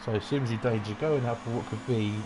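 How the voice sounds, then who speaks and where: A man giving relaxed, rambling commentary on an online racing qualifying session. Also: medium pitch, a little fast, noisy background. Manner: relaxed, rambling, self-deprecating asides. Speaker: race commentator.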